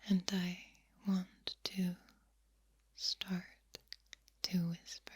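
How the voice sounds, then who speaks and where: Breathy, whispering woman, extremely slow. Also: on the low side, completely flat delivery. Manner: deliberate, drawn-out delivery with repeated words. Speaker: ASMR host. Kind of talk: soft-spoken ASMR, mostly whispered. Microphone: close, sensitive microphone.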